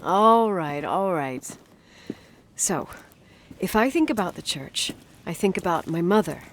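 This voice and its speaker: A woman's voice: fairly low pitch, lively intonation, a little slow, slight background noise. A woman playing a wry, guarded character in a game, speaking in a hesitant, dryly sarcastic, naturalistic conversational way. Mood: reflective, wry. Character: wry, guarded adult woman recalling her childhood.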